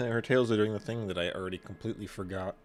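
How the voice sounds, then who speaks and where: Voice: mid-range voice, quick pace, faint music behind. A woman livestreamer reacting casually to a game, sweary and joking.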